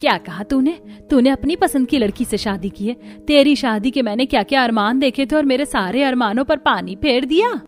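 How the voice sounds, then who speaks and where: A woman plays a bitter cartoon mother-in-law with a fairly high voice. She is disapproving and dramatic, scolding her family with heavy reproach, over background music. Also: normal speed, few pauses, lightly acted. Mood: outraged.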